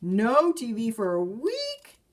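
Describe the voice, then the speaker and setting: Woman playing whiny, high-pitched young bear cub. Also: huge pitch swings, slow pace, heavily acted. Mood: whiny protest. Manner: pleading, complaining. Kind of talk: children's picture book read-aloud.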